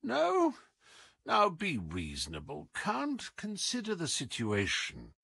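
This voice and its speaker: A man voicing a sharp, commanding detective in an audiobook, coolly taunting, with deliberate, teasing emphasis. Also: high voice, wildly swooping pitch, creaky, husky, no room sound, voice pushed up, heavily acted. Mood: calm, persuasive. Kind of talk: audiobook character acting.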